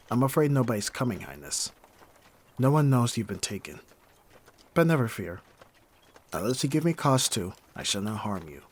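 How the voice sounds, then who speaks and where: Man acting as a low-voiced, bitter, sardonic thief. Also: a little hiss or hum, close to an everyday voice. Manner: formal, sardonic, theatrical phrasing with pointed pauses. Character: bitter hardened young male thief, mockingly courteous kidnapper. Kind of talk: scripted ASMR roleplay acting.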